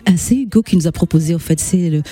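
A woman's voice: fairly low pitch, quick pace, dark tone, breathy edge. A woman with a Portuguese accent, a native Portuguese speaker, answering as a guest in a radio interview in a reflective way with small restarts. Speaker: guest singer.

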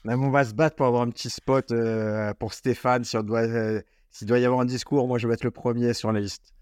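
A man's voice: mid-range voice, flat delivery, brisk, darkish tone, smooth, dry recording, studio quality. A man hosting a casual podcast, talking informally with lots of fillers and restarts. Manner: chatty, informal, many fillers. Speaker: podcast host.

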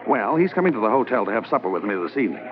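A calm undercover policeman. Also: on the low side. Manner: calm, measured, confident. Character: undercover Canadian policeman posing as smuggler. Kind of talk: 1950s radio drama acting. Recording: dull old radio transcription, faint hiss.

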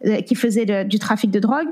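A woman's voice: mid-range voice, fast, a little mellow. A woman in her thirties telling her own story in an interview, hesitant and full of fillers, with English words slipping in. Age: 30s. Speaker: guest sharing her story. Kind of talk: candid personal testimony.

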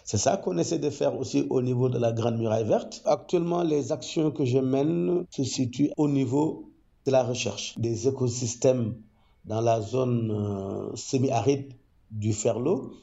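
Man, ecology professor, gravelly, dark tone, measured explanations, muffled sound. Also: medium pitch. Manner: measured, explanatory, with frequent hesitations. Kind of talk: interview testimony.

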